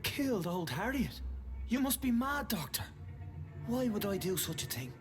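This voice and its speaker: An actor playing a pious, quietly unsettling asylum keeper, speaking in a calm, preacherly way in dramatic video game dialogue. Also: male voice, high voice, moderate pace, over music. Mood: indignant.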